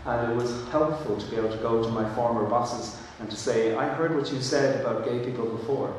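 A man's voice: mid-range voice, a little fast, darkish tone, slight background noise. An Irish man whose first language is Irish, speaking earnestly and thoughtfully on a panel, with frequent restarts. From Ireland. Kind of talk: panel discussion. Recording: echoey hall from far off.

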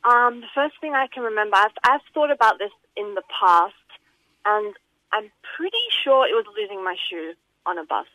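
A woman's voice: mid-range voice, expressive, moderate pace, bright, forceful tone, dry recording, low-quality recording. A young woman from Iran, a radio guest who talks in a chatty, slightly nervous way. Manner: chatty, giggly, a bit nervous. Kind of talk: casual radio interview.

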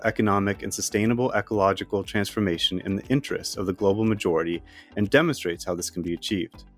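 A male interviewer reading a scripted introduction, then asking brisk, curious questions. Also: on the low side.

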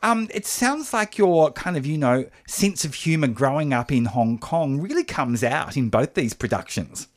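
Lively woman hosting radio. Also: low-pitched, normal speed, hardly any pauses. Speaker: radio host. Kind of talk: radio interview, conversational. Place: radio studio.

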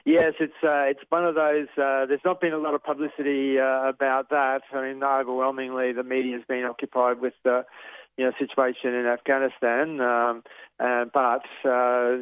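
A man speaking as an advocate over a phone line, his delivery flat and monotone. He hesitates often, filling gaps with ums and uhs. His voice is clear but the line is tinny and dry. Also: medium pitch, dry recording. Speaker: refugee advocate guest. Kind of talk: phone interview.

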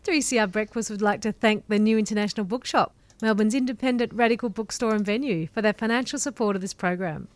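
A woman reading a station sponsor message in a clear, scripted way. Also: mid-range voice, fast, few pauses, no room sound. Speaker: station announcer.

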